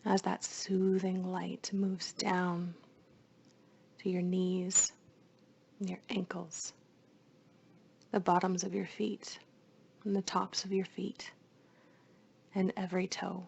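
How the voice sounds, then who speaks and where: A woman leading a guided meditation in a soothing, hushed voice, with phrases often trailing into breath. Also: lowish voice, level tone, takes it slowly, gravelly, pauses often, slightly rough.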